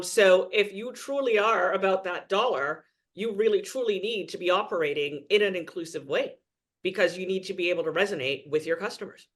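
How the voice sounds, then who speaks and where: A man's voice: high voice, fairly bright tone. A man from Trinidad and Tobago, a guest speaking earnestly and with emphasis in a podcast interview.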